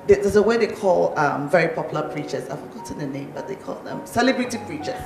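A woman's voice: deep voice, lively intonation, fast talker, vocal fry, roomy sound, over music. A woman with a Ghanaian accent hosting a casual interview, asking friendly questions between giggles. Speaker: host.

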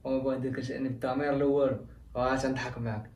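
A man plays a brusque, scolding Moroccan older brother. He talks rapidly in a somewhat high voice. The sound is echoey and distant, with faint noise behind. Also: little pitch movement, darkish tone, lightly acted. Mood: bossy. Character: bossy short-tempered brother, young adult man. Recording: roomy echo, mic set back.

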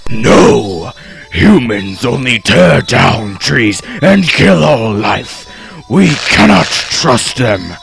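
A man's voice: medium pitch, very animated, drawn-out words, gravelly, over music, full character voice. A man voicing a bitter, melodramatic giant tree with a commanding manner in an abridged cartoon parody. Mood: menacing, bitter. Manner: theatrical, commanding.

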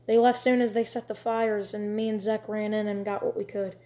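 A woman's voice: medium pitch, little pitch movement, fairly quick, mellow, close to an everyday voice. A woman voicing a worn, frightened frontier wife who talks in plain, earnest country speech.

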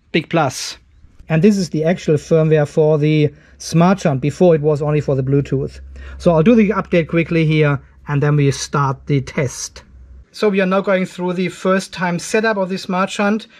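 A man in his fifties giving a casual hands-on tech demonstration, conversational and thinking aloud as he works. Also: on the high side. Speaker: host of an off-grid solar video channel. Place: indoor garage workshop.

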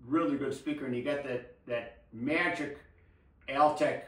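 Older man, halting casual explainer, technical co-host, roomy echo, distant mic. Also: mid-range voice, steady tone. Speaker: co-host of an audio hobby show. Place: indoor room with table and blinds.

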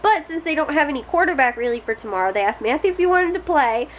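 A young woman chats to a close camera in a small bedroom. Her voice sits a little high, and she rambles along with fillers and restarts. A faint hiss sits under her speech. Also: expressive, fast. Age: young adult. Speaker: family vlogger. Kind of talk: casual chat to camera. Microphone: close camera at face height.

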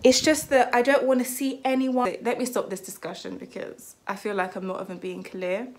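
A woman's voice: on the high side, level tone, moderate pace. A young woman vlogging at home, chatting casually to the camera in a rambling way that often hedges and corrects itself. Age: young adult. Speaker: lifestyle vlogger. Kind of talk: casual vlog chat to camera. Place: small rooms at home, bedroom and kitchen. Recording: roomy echo of a small room.

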